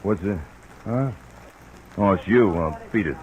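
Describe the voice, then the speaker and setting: A man's voice: sits low, a little slow, highs cut off. An actor playing a hesitant, folksy, down-on-his-luck private detective in an old-time radio drama. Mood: flustered, gruff. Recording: old radio recording, steady hiss.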